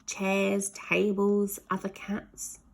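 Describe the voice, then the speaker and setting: A woman's voice: mid-range voice, completely flat delivery, drawn-out words, vocal fry, rough recording. A woman narrating a children's story aloud in a dramatic way, leaning hard on sound words. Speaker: storybook reader.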